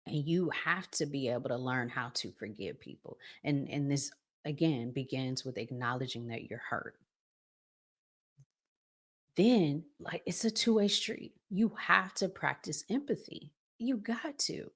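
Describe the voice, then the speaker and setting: A woman's voice: a bass voice, animated, a little slow. An American woman hosting a relationship advice channel, talking casually and emphatically in colloquial language and drawing out her key words. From United States. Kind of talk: casual advice talk with acted-out examples.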